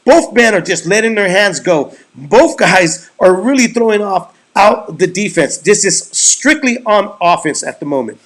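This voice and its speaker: A man's voice: high voice, expressive, a little slow, hardly any pauses, fairly bright tone. A man giving casual, emphatic play-by-play commentary on a boxing match as a podcast co-host.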